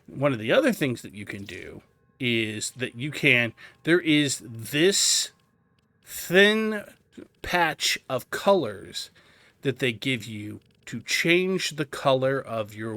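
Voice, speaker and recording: A man's voice: medium pitch, expressive, takes it slowly, pushed and bright, no echo. A man hosting a video game tutorial, casually explaining menus and thinking aloud as he plays. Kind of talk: casual gameplay walkthrough commentary.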